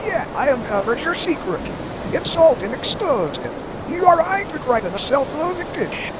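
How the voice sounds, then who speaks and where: Casting: performer as ranting, profane storm reporter, melodramatic and taunting, very animated pitch, heard through loud surf noise. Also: moderate pace. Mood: indignant, accusing. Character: hot-tempered, foul-mouthed storm reporter, ranting and dramatic. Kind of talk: amateur audio drama acting.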